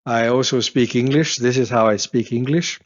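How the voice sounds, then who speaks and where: A man's voice: mid-range voice, steady tone, moderate pace. A man from Beijing with a Chinese accent, a native Mandarin speaker, explains the language to beginners. He talks casually and conversationally, with frequent "right?" check-ins and "uh" fillers. His voice sits mid-range, and the audio is a little dull and lo-fi. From Beijing, China. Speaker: Chinese tutor.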